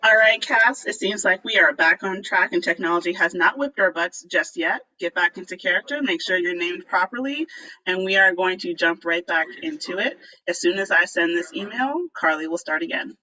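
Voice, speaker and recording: A woman's voice: fairly low pitch, moderate pace, sharp tone. A woman hosting an online event, chatting casually and playfully while giving friendly instructions to participants. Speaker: host and organizer of a virtual staged reading. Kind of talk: casual live-stream coordination. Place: remote video call. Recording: muffled video call, distant mic.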